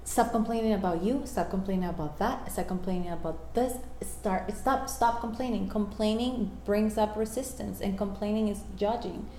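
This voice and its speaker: A woman's voice: medium pitch, moderate pace, few pauses, dark tone. A woman whose first language is Spanish, giving earnest, motivational answers as a guest in an interview. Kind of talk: reflective interview talk on personal growth. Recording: echoey room with faint noise.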